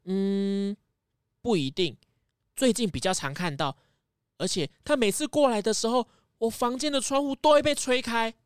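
A woman's voice: on the high side, moderate pace, clean tone, no echo, clean studio sound, lightly acted. A woman playing a timid, frightened small child in a children's audio mystery drama. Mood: shy, earnest. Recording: music behind the voice.

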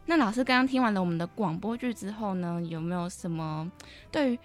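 A woman's voice: medium pitch, a little fast, faint music behind. A woman with a Taiwanese Mandarin accent hosting a conversational podcast interview. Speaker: podcast host.

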